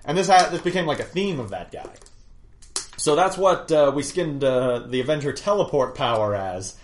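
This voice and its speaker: A man's voice: on the high side, lively intonation, moderate pace. A man acting as game master, casually recapping an old campaign in a rambling, self-deprecating way. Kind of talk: casual podcast chat.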